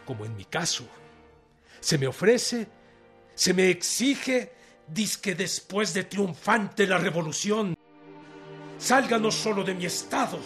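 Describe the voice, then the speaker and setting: An actor playing a resolute, defiant Mexican revolutionary leader, declaiming emphatically in a radio drama. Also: male voice, sits high, fairly bright tone, exaggerated voice. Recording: music behind the voice. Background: music.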